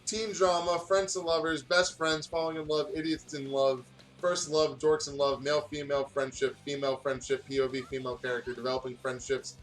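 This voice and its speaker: A man with a fairly high voice reads fan fiction aloud in a loose, joking way, tripping over words and prone to giggling. He slips easily between reading and casual banter, with faint music behind him. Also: little pitch movement, brisk, rough recording. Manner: giggly, goofy, often stumbling over what he reads. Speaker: host of a let's play channel.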